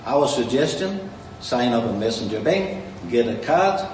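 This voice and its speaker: A man's voice: mid-range voice, big pitch swings, unhurried, a little mellow, slight background noise. A man with a Danish accent giving a rambling, boastful conference speech as a company founder. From Denmark. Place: large conference hall. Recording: distant mic in an echoey hall with faint ambience.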